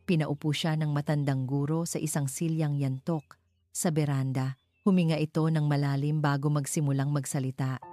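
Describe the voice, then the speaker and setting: A woman's voice: low voice, dark tone. A woman narrating a dramatic scripted story. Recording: music behind the voice.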